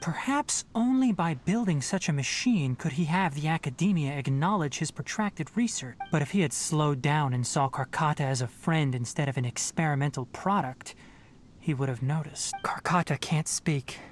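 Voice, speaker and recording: An actress playing a calm, analytical scholar in a video game, measured and thoughtful as she explains things. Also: low voice, soft background music. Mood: grave, thoughtful. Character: calm, analytical woman scholar investigating a mystery.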